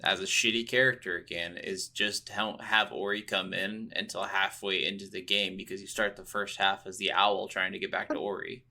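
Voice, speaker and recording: A man's voice: low voice, level tone, normal speed, few pauses, sharp tone. A man co-hosting a video game podcast in casual, unscripted chat, agreeable and easygoing. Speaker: podcast co-host reviewing video games.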